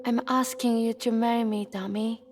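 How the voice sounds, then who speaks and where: A woman's voice: on the high side, fairly even intonation, music in the background, natural voice. A woman playing a tender, devoted young woman in a video game cutscene, speaking earnestly. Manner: earnest, pleading, unhurried.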